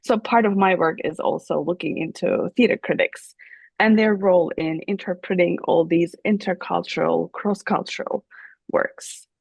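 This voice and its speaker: A woman's voice: lowish voice, fairly even intonation, moderate pace, gravelly, husky, no room sound. A woman professor whose first language is Turkish, answering interview questions thoughtfully with many fillers and restarts. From Turkey. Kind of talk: academic interview.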